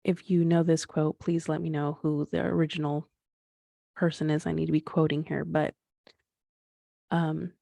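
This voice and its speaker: A woman's voice: deep voice, level tone, moderate pace, vocal fry, pauses often, dark tone, no echo. A woman in her thirties hosting a solo podcast, talking things through in a rambling, reflective way with frequent restarts and fillers. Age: 30s. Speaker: podcast host.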